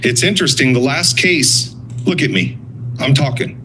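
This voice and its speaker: A man's voice: mid-range voice, normal speed, sharp tone, slight background noise. A blunt, sarcastic man giving confrontational public comment at a meeting, swearing and accusing.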